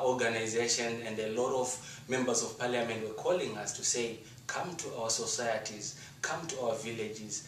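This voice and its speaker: Echoey, distant Zimbabwean man giving a testimony. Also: medium pitch. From rural Shurugwi, Zimbabwe. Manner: earnest, emphatic, deliberate rhetorical phrasing. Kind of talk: rehearsed testimony and appeal speech to an audience. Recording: roomy echo, distant mic, faint noise.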